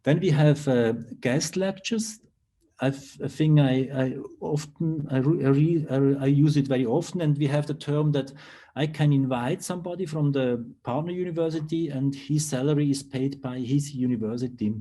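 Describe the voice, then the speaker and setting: A man with a Swiss accent presents over a video call. His voice is mellow and dark. He speaks haltingly, with many fillers and restarts. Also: fairly high pitch. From Switzerland. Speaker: university lecturer. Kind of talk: online conference presentation.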